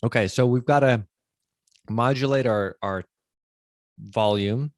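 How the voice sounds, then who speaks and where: A man's voice: mid-range voice, takes it slowly, no echo, clean studio sound. A middle-aged man hosting a podcast interview in a conversational way, recapping points and checking he has understood.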